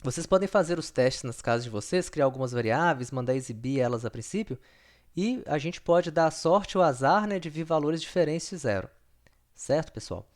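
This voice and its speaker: A man's voice: fairly high pitch, lively intonation, moderate pace, a little mellow. A man teaching a recorded programming lesson, patient and repetitive, often checking in with his students. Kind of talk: recorded screen-share lesson.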